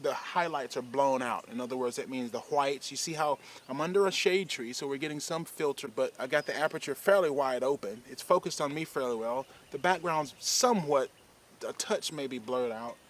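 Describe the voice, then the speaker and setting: A man, speaking casually outdoors under a shade tree into a camera-mounted shotgun mic, explaining fishing-video gear in a relaxed, rambling way. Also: medium pitch, normal speed. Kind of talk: casual gear advice vlog.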